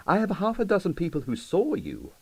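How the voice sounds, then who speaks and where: A man voicing a shrewd, smooth-talking police detective in an audiobook, questioning cordially and with gentle insistence. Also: high voice, huge pitch swings, a little fast, no echo, voice pushed up, exaggerated voice. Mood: pointed.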